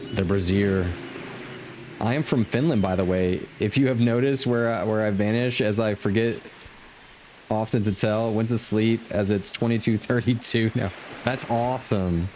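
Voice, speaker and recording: A man's voice: on the low side, fairly even intonation, measured pace, noisy background. A man giving casual, laid-back gameplay commentary as he hosts a stream, thinking aloud and reading out quest text. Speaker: game livestream host. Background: game music.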